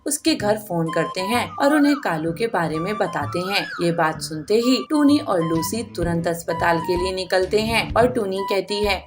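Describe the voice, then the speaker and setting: A woman's voice: medium pitch, lively intonation, moderate pace, hardly any pauses, music in the background. A woman narrator reading a scripted children's story.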